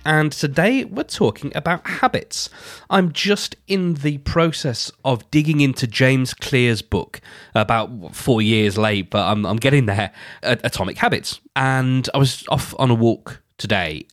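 A man's voice: mid-range voice, a little slow, no room sound, studio quality. A man hosting his own podcast, talking casually and rambling a little, with a congested, nasal voice from a cold. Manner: chatty, rambling, self-correcting. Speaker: podcast host and producer. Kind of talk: casual solo podcast talk.

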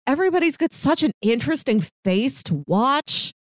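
Animated woman podcast co-host, dry close sound. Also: sits high, normal speed, no echo. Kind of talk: casual discussion of a TV show.

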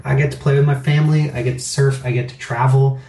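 A man's voice: medium pitch, flat delivery, fast talker, gravelly, dark tone. Middle-aged male interview guest, candid and reflective, roomy echo. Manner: candid, reflective, rambling with fillers. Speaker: interview guest, business owner. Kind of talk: casual interview talk.

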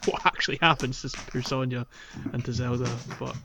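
A man co-hosting a casual gaming podcast, recapping in a halting, stammering way with frequent false starts. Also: medium pitch, fairly even intonation, heavy background noise. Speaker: podcast co-host. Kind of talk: casual game recap chat.

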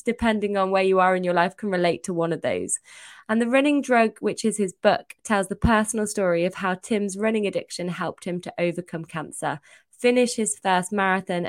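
Upbeat woman hosting a podcast. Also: medium pitch. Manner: upbeat and enthusiastic, warm interviewer. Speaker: podcast host. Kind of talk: podcast hosting and casual chat.

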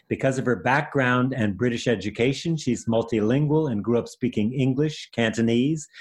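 A man hosting an interview program, introducing his guest in a measured, earnest way. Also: medium pitch. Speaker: host. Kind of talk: radio interview.

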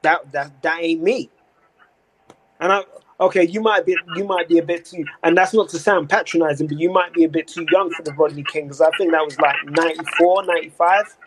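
A man's voice: a little high, steady tone, fairly quick, fairly bright tone, husky, low-quality recording. Earnest slangy man in his forties. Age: 40s. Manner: earnest, rambling with restarts and slang. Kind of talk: casual conversation.

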